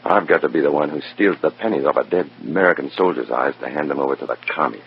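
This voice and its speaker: An actor playing a wry, bitter undercover FBI informant, sardonic and hard-boiled, in an old radio drama. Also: male voice, very deep voice, fast talker, slight background noise. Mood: resentful. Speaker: narrator and protagonist. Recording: dull, muffled old radio recording.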